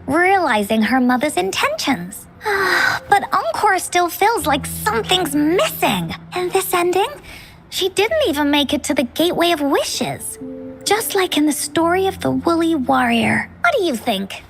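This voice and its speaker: A little girl adventurer with a very high, bubbly, childlike voice. She tells stories expressively, as video game dialogue with music underneath. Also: sing-song, measured pace, vocal fry, full character voice. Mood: pensive. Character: chirpy, imaginative little girl adventurer and storyteller.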